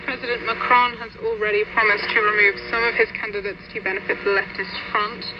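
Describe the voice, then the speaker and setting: A woman's voice: mid-range voice, steady tone, quick pace, highs cut off. Woman newsreader over noisy shortwave radio. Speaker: radio news presenter. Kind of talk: scripted news reading.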